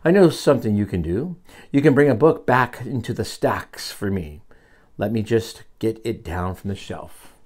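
A man's voice: lowish voice, animated, moderate pace, a little mellow. A man voicing a kindly but firm woman librarian who gives polite instructions while reading a storybook. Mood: friendly.

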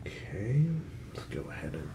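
A man in his thirties doing a soothing ASMR roleplay in a soft, breathy whisper and murmur, gentle and reassuring. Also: deep voice, sing-song, moderate pace. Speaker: ASMR performer as hairdresser. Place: small indoor room set up as a salon.

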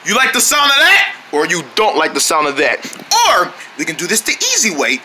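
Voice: medium pitch, big pitch swings, a little fast, bright, forceful tone. A performer voicing a brave, boastful male general in a cartoon, talking in folksy, slangy tough talk. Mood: threatening. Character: brave, swaggering, boastful male general, self-appointed hero. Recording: noticeable background noise.

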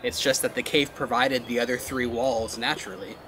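A man's voice: mid-range voice, steady tone, a little fast, a bit bright, slight background noise. A man in his thirties exploring a cave house on a wooded hillside, explaining what he finds to a handheld camera with curiosity. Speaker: vlogger and father. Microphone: handheld camera, sometimes at arm's length.

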